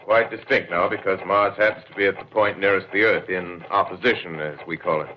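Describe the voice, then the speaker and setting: An actor playing a calm, measured astronomy professor with a precise, dry manner in a 1930s radio drama. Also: male voice, sits low, slight background noise, close to an everyday voice. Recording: muffled old radio recording.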